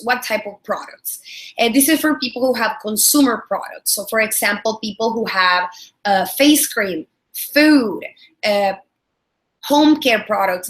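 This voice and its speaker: A woman's voice: mid-range voice, fairly bright tone. A woman in her thirties from El Salvador with a Salvadoran Spanish accent, teaching a business webinar in a friendly way, repeating words and using many fillers. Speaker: product strategist and trainer. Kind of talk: webinar training.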